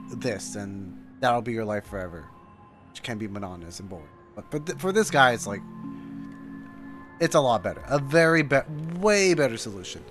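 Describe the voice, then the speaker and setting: A man streaming his live reaction to a video game, talking informally and haltingly, often repeating himself. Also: medium pitch, fairly bright tone. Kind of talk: casual live reaction commentary.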